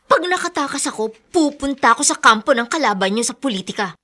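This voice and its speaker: An actress playing a defiant, principled household maid in a radio drama, speaking firmly and emphatically. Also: female voice, sits high, lively intonation, quick pace, bright, forceful tone, heavily acted. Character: defiant, principled young household maid standing her ground. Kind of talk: Tagalog radio drama acting.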